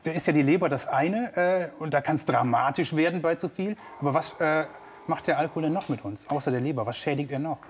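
A man's voice: fairly high pitch, quick pace, slight background noise. A man in his forties hosting a television talk show, reading viewer questions in a friendly way with some hesitant fillers. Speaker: TV host. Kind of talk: studio talk show moderation. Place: TV studio set.

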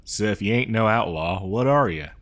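A man's voice: lowish voice, lively intonation, close to an everyday voice. A man playing a dim, good-natured cowboy mail rider in a comedy roleplay, rambling hesitantly in an American cowboy drawl. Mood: chatty, sheepish. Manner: rambling, hesitant, folksy, slow-witted. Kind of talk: improvised comedy audio roleplay.

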